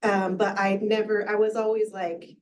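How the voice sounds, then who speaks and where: A woman's voice: medium pitch, flat delivery, moderate pace, darkish tone, recorded from a distance. A woman in her thirties, a researcher on a panel, speaking candidly with casual fillers. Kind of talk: panel discussion.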